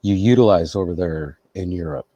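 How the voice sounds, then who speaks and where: Relaxed male interview host, low-pitched, dry close-mic sound. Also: brisk, no echo, dull audio. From United States. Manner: relaxed, laughs easily, reflective. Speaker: podcast host. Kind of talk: casual interview.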